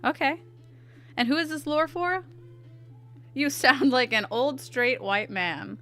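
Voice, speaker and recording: A woman with a stuffy nose, chatting casually with her viewers in quick asides while she draws on stream. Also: sits high, fairly bright tone, soft background music. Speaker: art streamer. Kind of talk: casual chat while drawing.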